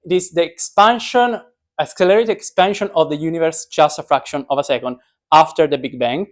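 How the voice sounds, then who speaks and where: A man's voice: a little high, brisk. Joking young man giving an informal science talk. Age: young adult. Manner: joking, self-deprecating. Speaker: speaker, physics PhD student.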